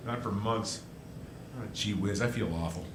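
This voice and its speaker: An actor playing an earnest, flustered, hot-headed young husband in a live 1940s-style radio play, with a stammering, halting delivery. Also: male voice, lowish voice, close to an everyday voice. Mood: dejected. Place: live stage performance. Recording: roomy stage sound, faint noise.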